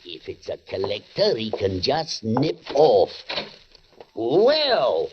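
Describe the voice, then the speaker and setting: Slow, gruff working husband. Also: male voice, mid-range voice, huge pitch swings. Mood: grumbling. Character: gruff working-class husband, surly and unhurried. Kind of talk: 1940s radio acting. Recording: muffled, noisy old radio recording.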